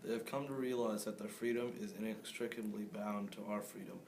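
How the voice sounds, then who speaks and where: Teen male student reader, lowish and monotone, noisy recording. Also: fairly quick. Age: teenager. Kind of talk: reading a famous speech aloud. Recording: clear background noise.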